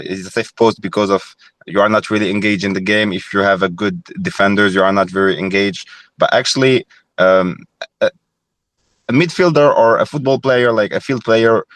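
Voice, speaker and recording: Young male guest, deep and dry, thoughtful with many restarts. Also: normal speed, fairly bright tone, no echo. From Morocco. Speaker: former goalkeeper, guest. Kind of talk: reflective podcast interview.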